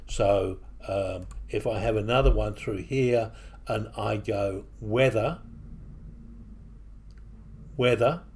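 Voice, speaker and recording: A man with a mixed English and New Zealand accent, walking through software on his computer in a casual, hesitant way with many fillers. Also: on the low side, breathy edge. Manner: hesitant, with frequent fillers and restarts. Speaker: software tutorial presenter. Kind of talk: casual screen-recording walkthrough. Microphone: desktop microphone at a computer.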